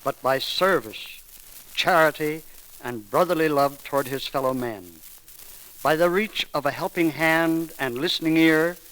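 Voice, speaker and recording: A man reading a formal memorial eulogy on 1930s radio, solemn and oratorical with deliberate phrasing. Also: on the high side, a bit bright. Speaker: film studio manager giving eulogy. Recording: crackly 1930s radio broadcast recording.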